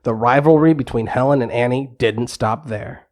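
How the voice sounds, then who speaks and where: A man's voice: mid-range voice, normal speed, darkish tone. A man narrating a scripted bedtime story for kids.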